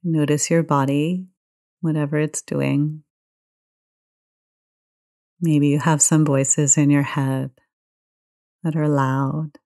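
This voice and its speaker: A woman leading a guided meditation in a gentle, soothing, unhurried manner. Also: deep voice, little pitch movement. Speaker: meditation teacher.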